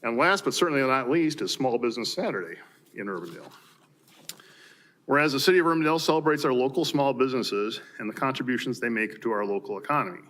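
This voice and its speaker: A man's voice: medium pitch, lively intonation, fast, fairly bright tone. A man with an American accent, a mayor formally reading proclamations at a council meeting. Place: city council chamber.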